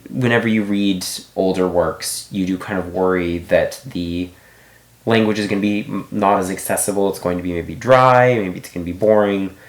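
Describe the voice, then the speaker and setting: A man's voice: deep voice, steady tone, normal speed, echoey room. A man giving a casual book review, thinking aloud with many restarts and asides.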